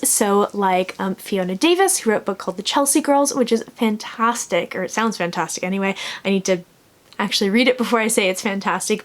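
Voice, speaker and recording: A woman with a fairly high voice talks casually, as in a personal reading vlog. She rambles along in a chatty, informal way and is quick to laugh at herself. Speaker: book vlogger and podcast co-host.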